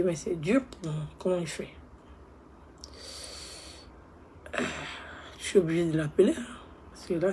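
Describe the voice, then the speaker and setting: A woman playing a remorseful young woman who begs her ex on the phone. She speaks softly and pleads, often close to a whisper. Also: lowish voice, slight background noise, natural voice. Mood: dejected. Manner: soft, hushed, pleading, often near a whisper. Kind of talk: comedy skit monologue.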